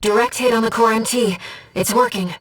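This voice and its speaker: Woman acting as gentle female mission guide, mecha video game, earnest and measured, breathy soft-edged voice, slightly distant sound. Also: on the high side, close to an everyday voice. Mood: calm, focused. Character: soft-spoken, earnest female voice in a pilot's head, loyal guide. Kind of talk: scripted video game voice acting, tactical callouts.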